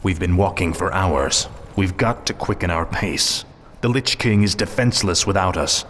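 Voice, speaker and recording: An actor playing a fallen prince turned undead death knight in a game, cold, haughty and commanding. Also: male voice, low-pitched, moderate pace, a bit bright, slight background noise. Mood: brisk, commanding. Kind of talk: video game cutscene acting.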